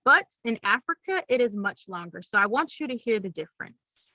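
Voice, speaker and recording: A woman's voice: fairly high pitch, very animated, fairly quick, sharp tone, dry recording, lo-fi sound. A young woman giving an upbeat, teacherly presentation to schoolchildren, explaining things plainly. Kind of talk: recorded classroom presentation.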